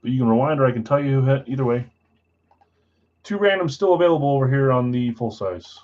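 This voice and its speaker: A man's voice: expressive, quick pace, a little mellow, muffled recording. A man hosting a live trading card break stream, casually reading out buyers and spots in a matter-of-fact voice he calls boring. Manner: self-described boring voice, low-key and matter-of-fact.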